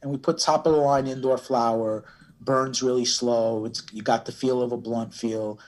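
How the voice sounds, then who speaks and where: A man giving casual interview answers, halting and often restarting his phrases. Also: medium pitch, fairly even intonation, a little mellow, poor audio. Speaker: cannabis brand owner, podcast guest.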